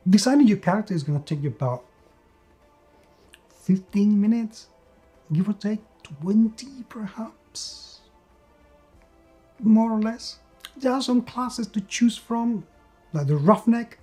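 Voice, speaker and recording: A man giving a conversational tabletop game review, emphatic, with repetition and dramatic pauses. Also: high voice, expressive, mellow. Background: background music.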